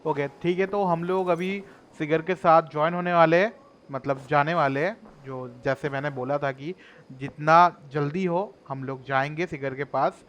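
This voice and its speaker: A man with an Indian accent hosts a gameplay video in a casual way. He talks extremely fast in a fairly high voice, with faint noise behind him. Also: fairly even intonation, a bit bright. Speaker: gaming video host. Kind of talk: casual walkthrough commentary.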